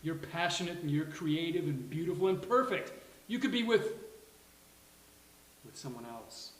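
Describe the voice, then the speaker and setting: A man's voice: on the high side, very animated, moderate pace. A man playing a candid, dryly sarcastic, protective best friend in a live sitcom table read. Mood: earnest, pleading. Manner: dry, earnest, with emphatic outbursts. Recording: roomy live venue with faint hiss.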